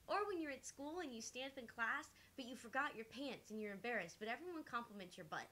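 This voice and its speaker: Noisy, hissy recording of a quirky young witch, played by a woman. Her high, animated voice is breezy and casual, with a cheerfully odd streak. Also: fast. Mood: cheerfully weird. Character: quirky upbeat young witch, new security guard. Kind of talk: live voice-acted visual novel read-through. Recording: clear background noise and hiss.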